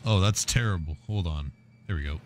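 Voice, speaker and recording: A man's voice: deep voice, brisk. A man opening a gaming stream and chatting casually with friends in a dry joking way. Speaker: co-host. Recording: noisy game stream audio.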